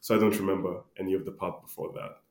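A man's voice: low-pitched, level tone, fast talker. A man in his late twenties from Ghana, answering casually as a podcast guest in an informal, laid-back way. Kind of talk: casual conversational interview answers. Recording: roomy, echoey voice recording.

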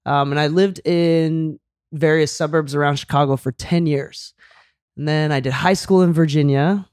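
A man's voice: on the high side, fairly even intonation, moderate pace, a little mellow, clean tone, no room sound, clean studio sound. A young man born in Japan, chatting casually with a laid-back, slangy way of talking and frequent laughs. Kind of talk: casual chat.

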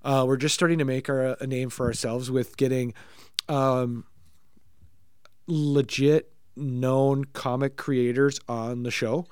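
A man hosting a casual podcast, excitable and tripping over his words, with a cold. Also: mid-range voice, takes it slowly, smooth, no room sound, clean studio sound. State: has a cold. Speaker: podcast host. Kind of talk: casual chat.

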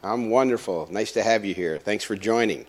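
A man hosts a conversational interview in a very low voice. He often stops and restarts his phrases, filling the gaps with ums and uhs. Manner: halting, many restarts and fillers. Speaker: interviewer host.

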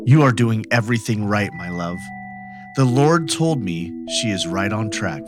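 A man's voice: fairly low pitch, animated, moderate pace, lightly acted. A man playing a devout, adoring mystic lover in a theatrical dramatic reading, grave and melodramatic. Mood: solemn, reassuring. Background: music.

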